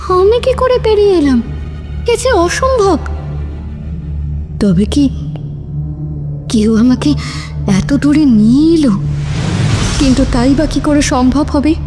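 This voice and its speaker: A long reverb and background music surround a haunted married woman recounting a horror tale. Her high voice moves slowly and theatrically, halting with suspense as in an animated ghost story. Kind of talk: dramatic first-person horror narration.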